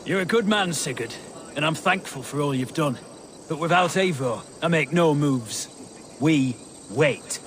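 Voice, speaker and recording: An actor playing a cautious, plain-spoken war leader in a video game, firm and commanding. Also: male voice, fairly high pitch, lively intonation, unhurried, a bit bright, a little hiss or hum. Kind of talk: video game cutscene acting.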